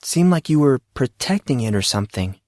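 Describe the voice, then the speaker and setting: A man voicing a guarded teenage girl with a light, calming, slightly nervous tone in an audio story. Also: medium pitch, brisk, no room sound, studio quality, voice pushed up, close to an everyday voice. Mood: confused. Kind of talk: audio drama character dialogue.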